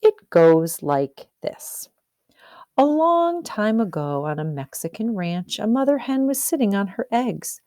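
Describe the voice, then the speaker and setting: A woman teacher reads a storybook aloud in a mellow, slightly low voice with big swings of intonation. She explains as she goes and puts questions to her listeners. The recording is close and dry. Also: sing-song, measured pace, no echo. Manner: explanatory, questioning, checks understanding. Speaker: teacher reading aloud to a class.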